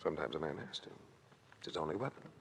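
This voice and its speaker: An actor playing a wry, hard-boiled adventurer in a 1940s radio detective drama. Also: male voice, extremely low pitch, big pitch swings, very fast, heavily acted. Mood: tough. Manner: dry, wisecracking. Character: wry, hard-boiled male adventurer telling his own story. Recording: noisy old radio broadcast recording.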